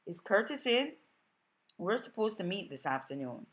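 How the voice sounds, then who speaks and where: A Jamaican woman voicing a composed young female lawyer who gives measured, guarded replies in a raised voice. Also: mid-range voice, big pitch swings, fairly bright tone, voice pushed up.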